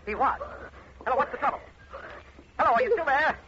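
A man's voice: very high voice, sing-song, fairly quick. An actor playing an agitated, insistent man in authority in a 1950s radio drama, abrupt in manner. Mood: alarmed, insistent. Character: agitated, impatient man in authority answering calls. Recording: muffled, noisy old radio recording.

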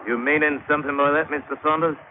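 A man's voice: on the high side, steady tone, quick pace, phone-quality sound, a little hiss or hum, close to an everyday voice. An actor playing an old English seaman with a British accent, telling his haunted adventure in colloquial sailor talk in a 1940s radio drama. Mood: curious, wary. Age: older. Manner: colloquial storytelling with sailor slang.

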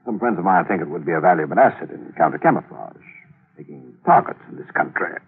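Deep husky smug hitman, tinny old radio drama. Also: expressive, exaggerated voice. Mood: smug menace. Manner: smug, teasing, slow menace. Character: sly menacing male killer, peanut-cracking, mocking. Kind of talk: 1940s radio acting.

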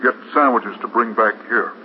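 A man's voice: lowish voice, normal speed, natural voice. A man playing an earnest, rule-quoting American construction-site watchman in a 1950s radio drama, explaining things in a slightly defensive way. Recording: muffled, noisy 1950s radio recording.

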